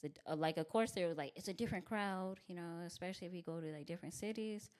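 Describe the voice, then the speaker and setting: A young woman stand-up comic from Tucson speaks in a low, deep voice with real bass in it. Her tone is smooth and resonant, and she talks openly with lots of "like" and "you know what I mean", laughing easily as she tells her story. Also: fast talker, a little mellow. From Tucson, Arizona. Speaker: stand-up comedian, podcast guest. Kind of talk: casual interview conversation.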